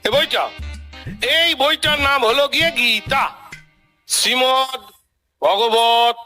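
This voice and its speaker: A man acting out a fed-up court clerk in a comic cartoon, very high-pitched and bright, scolding in a formal way. Faint music sits under his lines. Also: slow, full character voice. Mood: exasperated. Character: exasperated court clerk taking an oath. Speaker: court official.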